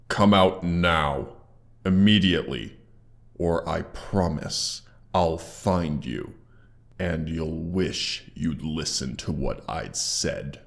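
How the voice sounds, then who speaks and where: A man voicing a haughty, menacing dragon guarding his hoard in a scripted audio drama, with theatrical pauses and monster effects on the voice. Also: low voice, gravelly, heavily acted. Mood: angry, threatening. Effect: monster voice processing.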